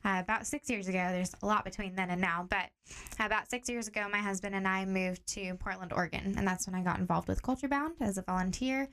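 Calm explaining woman guest. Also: mid-range voice, fast. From Tanzania. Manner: calm, steady explaining with clear examples. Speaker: guest, director of training. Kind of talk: explanatory podcast interview.

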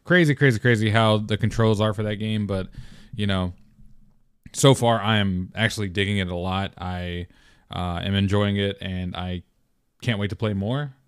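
A young man hosting his own podcast alone, with a deep morning voice, talking in a rambling, relaxed way with lots of fillers and restarts. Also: normal speed, clean tone, no echo. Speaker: podcast host. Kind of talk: casual solo podcast talk.

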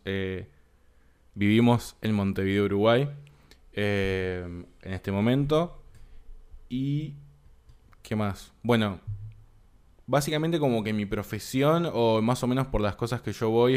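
Low smooth-voiced Rioplatense man. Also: a little mellow. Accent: Rioplatense Spanish. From Argentina. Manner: unhurried, hesitant, many fillers. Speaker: podcast co-host. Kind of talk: casual chat.

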